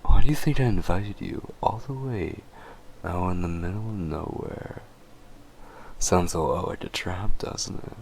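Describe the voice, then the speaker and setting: Man playing a low, creaky, smug vampire. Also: darkish tone, slight background noise. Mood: gloating, teasing. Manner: slow, taunting, chuckling, often dropping to breathy murmurs. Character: smug, teasing, possessive male vampire toying with his prey. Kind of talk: scripted roleplay audio drama.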